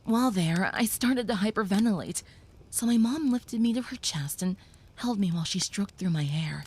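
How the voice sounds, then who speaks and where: A woman voicing a gentle young female vampire who soothes a human listener, speaking softly and often in a whisper, in an audio roleplay. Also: medium pitch, lively intonation, normal speed, husky.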